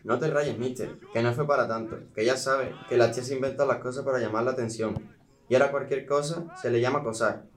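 Boy acting a quick, dismissive teen footballer. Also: mid-range voice, fairly even intonation, natural voice. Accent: Andalusian Spanish. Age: teens. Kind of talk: amateur radio drama acting.

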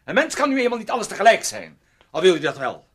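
A man's voice: sits high, wildly swooping pitch, quick pace, fairly bright tone, breathy edge, full character voice. An actor in a radio play playing a possessive, bitter man who taunts and threatens with theatrical menace. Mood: agitated, furious. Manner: taunting, theatrical, swinging into agitation. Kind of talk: Dutch radio play acting.

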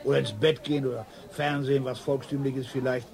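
An actor playing a pompous, quarrelsome know-it-all at the pub in a radio drama, mocking and theatrical. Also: male voice, mid-range voice, level tone, brisk, creaky, darkish tone, natural voice. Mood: needling.

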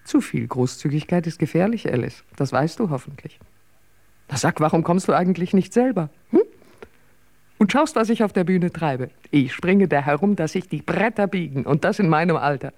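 A woman's voice: deep voice, lively intonation, normal speed, darkish tone. A woman playing a chatty, self-mocking ageing stage actress in a radio drama, wry and theatrical in manner. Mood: breezy, self-mocking. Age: older.

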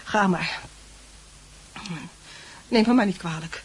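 A woman's voice: mid-range voice, slow. An actress playing a worried, protective middle-aged mother in a radio play, fussing and emotional. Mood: resigned. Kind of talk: radio play acting in Dutch.

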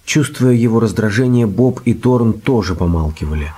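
Low, very husky male story narrator. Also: animated, normal speed, mellow. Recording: radio play with music underneath.

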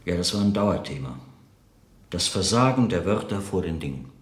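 A thoughtful man in a radio drama, recollecting with hesitant, earnest pauses. His slightly low voice moves through very lively inflections. The room adds noticeable echo around it. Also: a little hiss or hum. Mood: earnest, searching. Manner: searching, hesitant, earnest, pausing to reformulate. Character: reflective man recalling an enigmatic late writer friend. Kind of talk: naturalistic German radio play acting, interview-like monologue.

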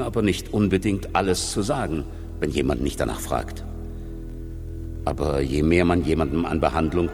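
A man's voice: deep voice, lightly acted. An actor playing a thoughtful, candid clinic doctor in a radio drama, weighing his words as he explains. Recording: long reverberant hall echo. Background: music.

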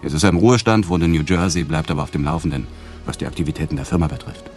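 An actor in a radio drama playing an affable, evasive business tycoon with a casual, teasing charm. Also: male voice, very deep voice, rapid-fire, soft background music. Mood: casual, persuasive.

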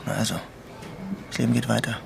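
A man's voice: mid-range voice, little pitch movement, quick pace, creaky. An actor playing a bitter, lovelorn young man in a radio crime drama, with a sardonic and brooding manner. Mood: cool, defensive. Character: bitter lovelorn young man working a menial job in Queens. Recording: radio play with loud music underneath. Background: music.

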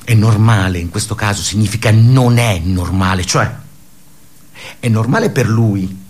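An actor playing a bitterly sardonic office worker in a theatrical radio drama monologue, pensive and pausing. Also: male voice, on the low side. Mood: sardonic, brooding. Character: bitterly sardonic male office worker brooding on colleagues' suicides.

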